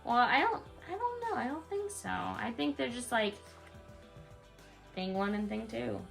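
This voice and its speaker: A woman's voice: a little high, sing-song, measured pace, vocal fry, a bit bright, faint music behind. A woman reading a rhyming bedtime story aloud to a young child, in a sing-song way with casual asides.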